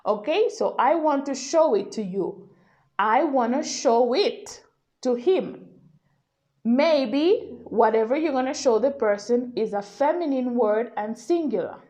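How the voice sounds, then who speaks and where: A woman's voice: sits high, lively intonation, a little slow, warm tone. A woman language teacher giving an informal grammar lesson, explaining patiently and often checking in with the learner.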